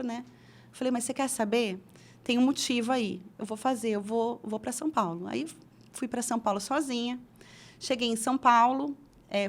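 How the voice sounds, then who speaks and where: A middle-aged woman from Brazil, an interview guest telling her career story in a chatty way with many restarts. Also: on the high side. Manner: chatty, frequent restarts and fillers.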